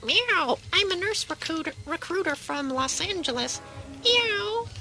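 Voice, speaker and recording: A woman's voice: very high-pitched, animated, measured pace, pushed and bright, faint music behind, heavily acted. A woman voicing a sly talking cat in a comedy sketch, coaxing like a salesperson and meowing between phrases. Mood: salesy, coaxing. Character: sly talking cat recruiter, wheedling tempter.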